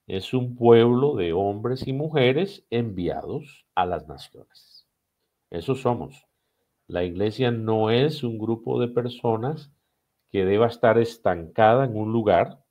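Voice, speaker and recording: A man with a Costa Rican accent and a lowish voice speaks as a guest in a podcast conversation. He explains like a teacher, building his points in short phrases and stressing them through repetition. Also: darkish tone. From Costa Rica. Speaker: missions director, podcast guest.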